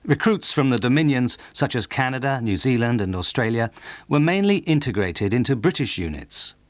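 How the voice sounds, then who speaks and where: A man's voice: mid-range voice, lively intonation, normal speed, no echo. A man reading scripted narration for a documentary as its narrator.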